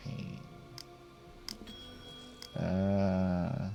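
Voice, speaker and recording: A man playing a game on a recording, reading its text aloud and thinking out loud with some hesitation. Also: low voice, monotone, extremely slow, vocal fry, lo-fi sound, faint music behind. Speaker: gamer reading and reacting to a visual novel.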